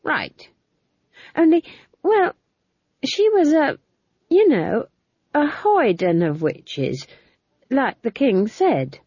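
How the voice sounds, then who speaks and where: A woman's voice: high-pitched, huge pitch swings, very slow, pauses often, darkish tone, no echo, lo-fi sound, voice pushed up, heavily acted. A woman voicing a bawdy, cheerful old village witch who rambles and gossips, reading for an audiobook. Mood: awed. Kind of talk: audiobook character voice.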